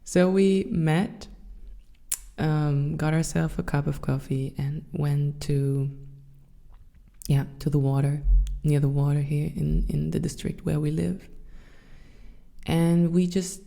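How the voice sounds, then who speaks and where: A woman in her thirties with a German accent, hosting her own podcast and speaking softly and thoughtfully with some hesitant fillers. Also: sits low, flat delivery, slow pace, creaky, pauses often. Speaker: podcast host. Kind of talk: reflective solo podcast talk.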